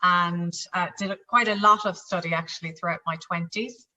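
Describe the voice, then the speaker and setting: A woman's voice: fairly low pitch, steady tone, moderate pace, bright, forceful tone, lo-fi sound. A middle-aged woman with a Dublin Irish accent, a company chief executive answering interview questions in a chatty way, with fillers and restarts. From Ireland.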